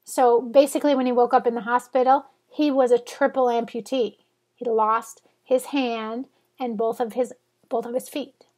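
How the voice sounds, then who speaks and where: A woman's voice: a little high, level tone, normal speed, a little mellow. A woman in her forties, stuffed up from a cold, chatting in a rambling, self-correcting way as she talks to her blog readers. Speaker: blogger. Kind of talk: casual vlog chat.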